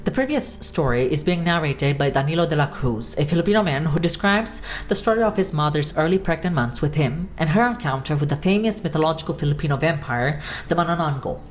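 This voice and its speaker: Low-voiced woman narrating. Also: a little hiss or hum. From Romania. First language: Romanian. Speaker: documentary narrator. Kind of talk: scripted narration.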